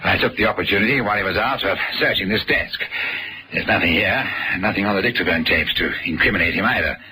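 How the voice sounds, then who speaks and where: An older banker, formal and indignant, in a 1960s thriller. His low voice is very sharp and forceful, with faint noise behind it. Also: fast, low-quality recording. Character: indignant older male merchant banker, upright and bitter. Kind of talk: 1960s British TV drama acting.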